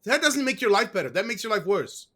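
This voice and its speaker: A man's voice: high-pitched, very animated, fast, pushed and bright, slightly rough. A man being interviewed, telling a long personal story in a rambling, casual way. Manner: rambling, with restarts and fillers. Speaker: guest. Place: West.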